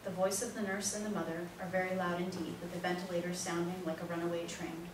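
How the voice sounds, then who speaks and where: A woman's voice: fairly low pitch, little pitch movement, fast talker. A woman reading stage directions as scripted narration for a play reading. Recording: echoey room, distant mic, noisy.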